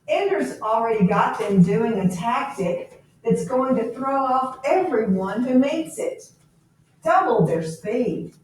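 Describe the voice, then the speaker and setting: A woman's voice: fairly high pitch, animated, slow pace, darkish tone. A woman reading a novel aloud as an earnest male army lieutenant with an urgent, insistent manner. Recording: very echoey room, distant mic.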